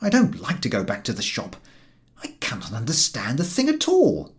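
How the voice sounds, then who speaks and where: A man narrating a humorous story as an audiobook reader, in an expressive scripted style. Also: medium pitch, moderate pace.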